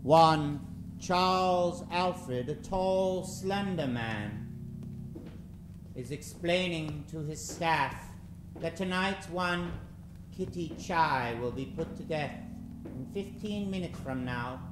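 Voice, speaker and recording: A man hosting an old-time radio horror show, narrating in a melodramatic, ominous way with drawn-out dramatic emphasis. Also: fairly high pitch, noisy background. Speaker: host and announcer of a live radio drama show. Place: live stage show before an audience. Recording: roomy live stage recording with music. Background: music.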